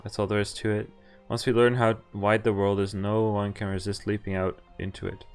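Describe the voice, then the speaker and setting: Man, low pitch, game streamer, casual commentary, reading text aloud, wry offhand asides, faint game music. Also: flat delivery, a little fast, clear, resonant tone. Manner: wry offhand asides between read lines. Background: visual novel music.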